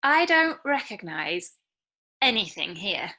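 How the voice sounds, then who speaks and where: A woman's voice: medium pitch, very animated, takes it slowly, sharp tone, exaggerated voice. An actress playing a bewildered, blunt young woman in a scripted drama read over a video call. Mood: puzzled. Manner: blurting, questioning. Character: bewildered, blunt woman in her late twenties.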